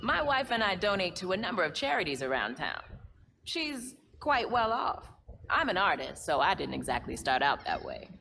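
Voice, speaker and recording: An actress playing an earnest, well-meaning artist in a video game, candid and expressive. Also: female voice, lowish voice, moderate pace, creaky, a little hiss or hum, full character voice. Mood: friendly. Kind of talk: video game cutscene acting.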